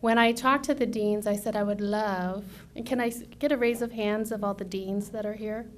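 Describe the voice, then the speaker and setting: A woman's voice: medium pitch, moderate pace, slight background noise. Woman speaking persuasively at a podium. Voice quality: soft voice. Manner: energetic, persuasive, rhetorical questions. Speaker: conference speaker. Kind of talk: conference presentation. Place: university conference room, at a podium. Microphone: podium microphone.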